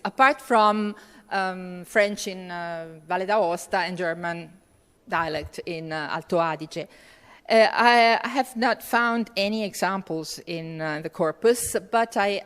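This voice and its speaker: A woman giving a keynote lecture and answering questions, with many fillers and restarts. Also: mid-range voice. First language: Italian. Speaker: keynote speaker. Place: conference hall. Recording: long reverb of a lecture hall.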